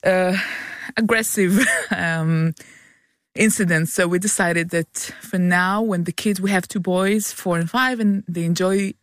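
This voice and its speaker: A woman's voice: lowish voice, no echo, clean studio sound. A pregnant woman in her thirties from Israel, with an Israeli accent and Hebrew as her first language, chatting as a guest in hesitant English. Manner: hesitant, searching for English words. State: heavily pregnant. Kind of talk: casual chat.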